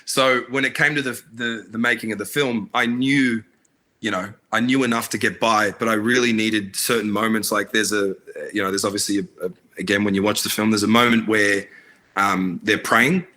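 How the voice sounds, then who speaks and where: A man's voice: on the low side, fairly even intonation, moderate pace, bright, forceful tone. A man, born in Iraq, talking earnestly as a filmmaker guest in a casual interview, with lots of fillers and restarts. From Iraq, raised in Australia. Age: adult, married.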